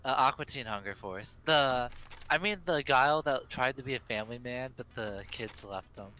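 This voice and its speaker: A man's voice: mid-range voice, moderate pace, pushed and bright, a little hiss or hum. A man bantering casually and jokingly as a co-player in a game playthrough.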